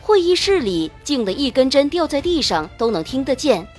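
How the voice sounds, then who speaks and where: A woman's voice: high voice, sing-song, normal speed, darkish tone, faint music behind. A woman narrating a novel in a scripted, dramatic audiobook style.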